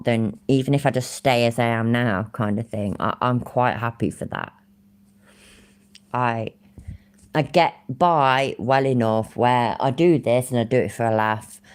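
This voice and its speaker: A woman's voice: rumbling low, darkish tone, clean tone. A woman with an English Midlands accent, chatting casually to her live-stream audience; she rambles, swears freely and laughs often.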